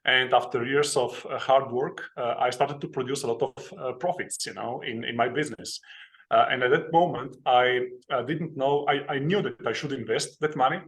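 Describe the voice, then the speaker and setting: A man's voice: medium pitch, moderate pace, fairly bright tone. A man from Slovenia, a guest telling his story in an interview, plain and earnest, with many hesitations. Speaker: guest, author and investing educator.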